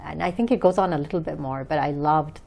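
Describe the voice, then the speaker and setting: Low, very creaky-voiced woman from Bangladesh. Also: fast talker, a little mellow. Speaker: interview guest, author and English teacher. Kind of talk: conversational interview answers.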